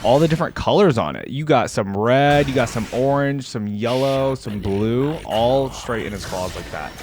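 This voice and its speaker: A man's voice: medium pitch, lively intonation, moderate pace, crowd noise. A man giving casual, slangy reaction commentary as a video host, thinking aloud as he goes.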